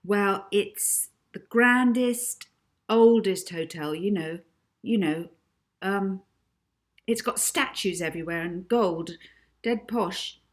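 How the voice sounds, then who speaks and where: A woman's voice: mid-range voice, takes it slowly, lightly acted. A woman voicing a plucky, self-assured young girl who travels alone and bluffs her way along, in a storybook reading. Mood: bluffing, eager.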